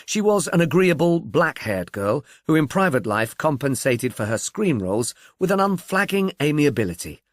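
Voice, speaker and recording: Guy reading a detective novel, very expressive pitch, really dry recording. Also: normal speed, hardly any pauses, no room sound. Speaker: audiobook narrator. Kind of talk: scripted audiobook narration of a detective novel.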